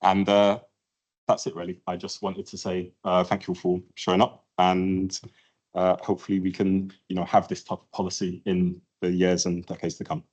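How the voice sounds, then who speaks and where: A man presenting to a patient audience in an event room, halting with many ums and restarts. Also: low voice, completely flat delivery, a little mellow, slightly rough, lo-fi sound. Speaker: clinician speaker at a patient event. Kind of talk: presentation talk with slides.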